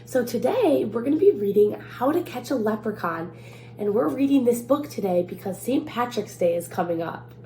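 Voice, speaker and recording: A woman's voice: on the high side, animated, moderate pace, warm tone. A woman presenting a children's storytime as a museum staff member, recorded in a roomy space. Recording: roomy echo, faint background noise.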